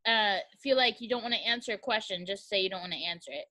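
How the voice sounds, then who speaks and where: A woman's voice: mid-range voice, fast, bright, forceful tone. A woman interviewing a relative at home in a casual chat. She explains things in a friendly way and laughs easily.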